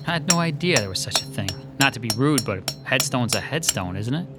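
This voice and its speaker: A man's voice: medium pitch, lively intonation, a little fast, loud music behind the voice, natural voice. An actor playing an uneasy male artist who narrates his own story in a radio drama, hesitant and polite. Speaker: narrator and lead character.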